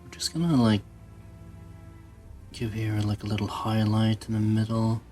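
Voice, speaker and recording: Low-pitched man, halting casual commentary. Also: flat delivery, mellow, faint music behind. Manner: halting, musing aloud. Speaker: toy customizing video host. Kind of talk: casual hands-on tutorial commentary.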